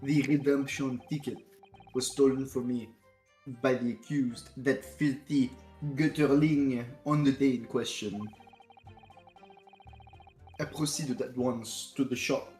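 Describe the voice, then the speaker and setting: A man voicing a haughty, indignant gentleman witness in a courtroom game, speaking in pompous, formal, wordy phrases. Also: medium pitch, steady tone, takes it slowly, pauses often, faint music behind, natural voice, rough recording. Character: haughty, indignant gentleman witness, a well-paid communications officer. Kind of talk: fan-dub courtroom game read-aloud.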